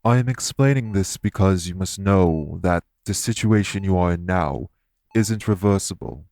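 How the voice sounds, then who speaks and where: A man playing a solemn, guilt-ridden fae in an audio roleplay, speaking formally and earnestly in measured, deliberate phrases. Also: low-pitched, animated, creaky, a little mellow, a little hiss or hum. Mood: grave, explanatory. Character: solemn, guilt-ridden male fae explaining magic to a patient. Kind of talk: audio roleplay acting, addressed to listener.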